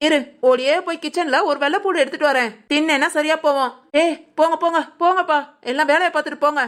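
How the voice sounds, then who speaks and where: A high, fussy comic cook frets in quick, anxious bursts, as a woman plays her. She bosses and worries in equal measure in a domestic comedy sketch. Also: fairly even intonation, fairly bright tone. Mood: bossy. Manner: rapid anxious fretting.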